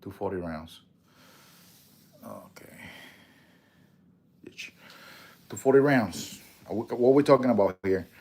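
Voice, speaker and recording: Puerto Rican man, very deep, casual. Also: lively intonation, measured pace, long pauses, warm tone. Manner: rambling, reads and answers viewer comments; loose, swears casually. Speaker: livestream host. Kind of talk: casual livestream chat.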